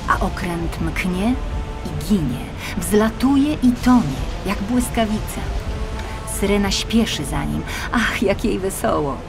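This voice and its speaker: A woman narrating a children's fairy tale audiobook expressively and dramatically, moving between hushed and swelling phrases. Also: medium pitch, lively intonation, slow pace, gravelly. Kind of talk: expressive scripted children's storytelling. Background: music.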